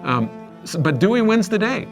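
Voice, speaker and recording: A man speaking emphatically and in a measured way in a documentary interview. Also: sits high, big pitch swings. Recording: music bed underneath.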